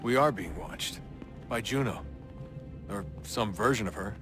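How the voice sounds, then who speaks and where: An actor plays a laid-back young man in a video game. His voice sits a little low and he speaks in short, casual, curious phrases. There is clear music behind him. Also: measured pace, natural voice. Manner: casual, understated, brief. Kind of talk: naturalistic video game acting.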